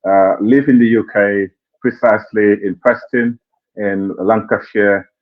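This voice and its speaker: A man from Gambia introducing himself on a live online panel, relaxed with hesitant fillers. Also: lowish voice, a little slow. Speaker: panel guest. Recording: tinny phone-like call audio.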